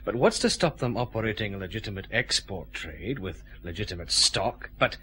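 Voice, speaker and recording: An actor playing a shrewd, decisive detective chief inspector with a Scottish accent and a brisk, commanding manner in a radio drama. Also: male voice, on the low side, expressive, pushed and bright, a little hiss or hum. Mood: probing.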